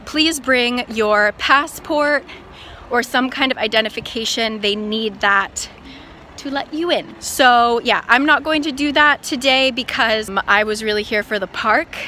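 Bright, high-voiced woman vlogging outdoors. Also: measured pace. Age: young adult. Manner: chatty, informal. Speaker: travel vlogger. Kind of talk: casual vlog chat to camera. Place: outdoors in an open park and parking lot. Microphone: phone at arm's length. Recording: outdoor noise, wind and traffic ambience.

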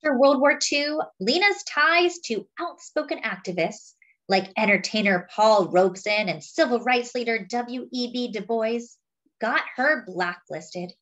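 A woman reading a picture book aloud, with expressive, dramatic phrasing. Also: on the high side, unhurried, lo-fi sound. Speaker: storybook reader.

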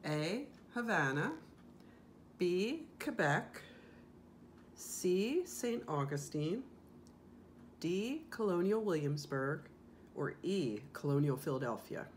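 A woman's voice: fairly low pitch, very animated, takes it slowly, long pauses. A middle-aged woman reading a multiple-choice quiz question as a librarian in a home kitchen. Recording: noisy home recording.

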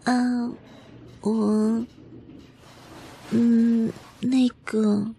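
Woman acting a shy, slow, high-voiced office girl. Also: flat delivery, vocal fry, mellow, slight background noise, heavily acted. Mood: shy, nervous. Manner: halting, timid. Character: shy, hesitant young female office clerk with a crush. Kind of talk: audio drama dialogue.

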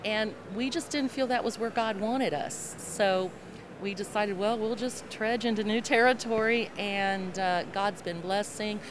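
A middle-aged woman gospel singer with a low voice, talking in an upbeat, chatty way into a handheld mic at a convention booth. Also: steady tone, a little slow, crowd noise. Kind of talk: interview answers. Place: convention hall interview booth. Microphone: handheld mic held by host. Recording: noisy convention hall.

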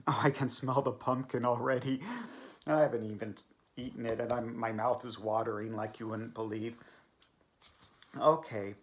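Male drag host, spoof cooking demo, deadpan gushing delivery, faint background hiss. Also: medium pitch, normal speed. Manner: mock-sincere, gushing over cheap goods. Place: small home room, seated at a table.

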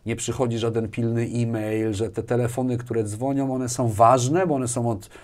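A man's voice: medium pitch, steady tone, moderate pace, darkish tone. A man in his forties speaking casually as an interview guest, often repeating phrases as he thinks aloud. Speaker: interview guest, business executive. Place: small furnished studio room with bookshelves, indoors. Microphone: lapel mic.

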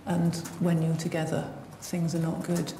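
Woman, social worker, formal steady report, low voice, long hall reverb. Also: moderate pace, a little hiss or hum.

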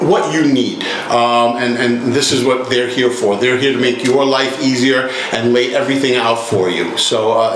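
A middle-aged man speaks as a realtor host, giving emphatic recommendations at an average pitch. He is in a very echoey small meeting room with faint background noise. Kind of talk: casual promotional interview.

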